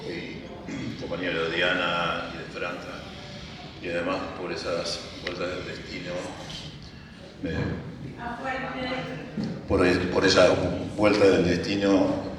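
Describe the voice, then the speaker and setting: Deep-voiced man speaking in an echoey hall. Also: monotone, slow pace, pauses often, distant mic, heavy background noise. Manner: hesitant, many fillers and restarts. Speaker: speaker at a book presentation. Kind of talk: public speech, rambling.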